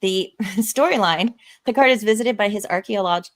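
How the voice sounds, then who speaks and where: A woman's voice: medium pitch, moderate pace. A woman casually hosting and directing a live script table read on a stream, often chuckling as she talks.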